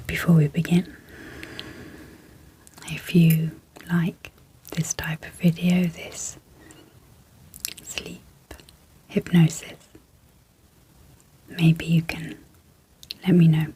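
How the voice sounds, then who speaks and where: Woman, breathy near-whisper, slow pace, even low-key intonation, gentle pauses, ASMR sleep hypnosis. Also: a little hiss or hum. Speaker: ASMR host and clinical psychologist.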